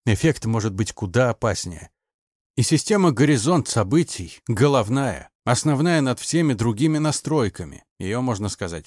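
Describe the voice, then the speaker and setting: Мужчина-чтец, сухая запись. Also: male voice, fairly low pitch, darkish tone, no room sound, clean studio sound. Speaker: audiobook narrator. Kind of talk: scripted dramatic narration.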